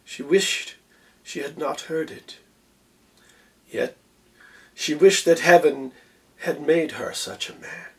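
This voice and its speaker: Guy doing a proud shakespeare general, kinda distant from the mic, slow stately speech that drops to a hush at phrase ends. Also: a little high, steady tone, creaky, heavily acted. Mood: hushed, wondering. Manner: measured, stately, with long pauses and hushed phrase endings. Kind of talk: theatrical Shakespeare monologue recitation.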